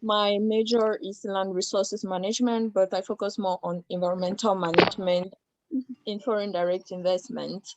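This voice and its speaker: Young woman pitching herself, hesitant. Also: slight background noise, rough recording. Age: young adult. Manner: hesitant, many fillers. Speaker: election candidate. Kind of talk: campaign self-introduction. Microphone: video call.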